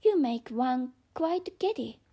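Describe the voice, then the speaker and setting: A woman's voice: sits high, lively intonation, slow pace, warm tone, full character voice. A woman with a non-native English accent reading the part of a curious, polite young girl in an audiobook, earnest and questioning. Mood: musing.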